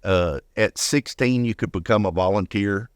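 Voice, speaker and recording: A man's voice: on the low side, normal speed, dry recording, studio quality. An older man speaking as an interview guest, telling personal stories casually and often repeating words as he goes. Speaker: guest, retired firefighter.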